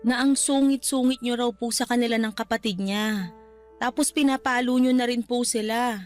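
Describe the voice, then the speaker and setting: A woman's voice: a little high, steady tone, normal speed, faint music behind, natural voice. A woman playing a young Filipino widowed mother in a radio drama, respectful but firm as she defends her children. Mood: concerned.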